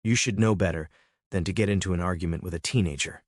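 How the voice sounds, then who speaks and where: A man voicing a cold, detached mother who speaks flatly and snaps. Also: low-pitched, quick pace, no room sound, studio quality, close to an everyday voice. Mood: snapping. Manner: flat, snapping.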